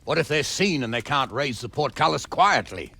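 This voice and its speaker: An actor playing a grave, pragmatic middle-aged nobleman in a medieval video game, measured and wry. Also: mid-range voice, expressive, creaky, sharp tone, slightly rough, heavily acted. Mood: grave, doubtful. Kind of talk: dramatic video game acting.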